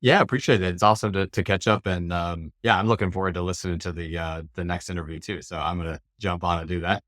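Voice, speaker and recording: A man's voice: deep voice, fairly even intonation, fast, fairly bright tone, dry recording, studio quality. A man being interviewed as a company representative, explaining products in an informal, casual way.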